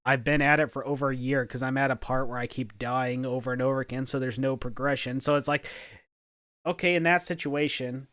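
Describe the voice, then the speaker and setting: Man, deadpan podcast host, halting delivery, smooth clear voice, dry close sound. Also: medium pitch, dry recording. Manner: halting, stumbles over words. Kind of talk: casual podcast chat.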